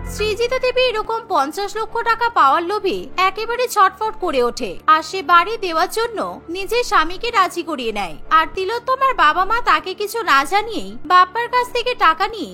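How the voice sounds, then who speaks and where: A woman's voice: very high voice, very animated, normal speed, hardly any pauses, pushed and bright, soft background music. A woman narrating a cartoon story from a script as its storyteller.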